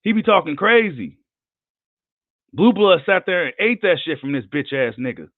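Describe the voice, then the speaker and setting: A man with a worn, hoarse voice delivers a heated, profane rant on a live boxing video. His tone sits a touch high for a man. The sound is dry and thin, like a phone line. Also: sing-song, moderate pace, no echo. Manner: heated, profane, combative ranting. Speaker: boxing commentator.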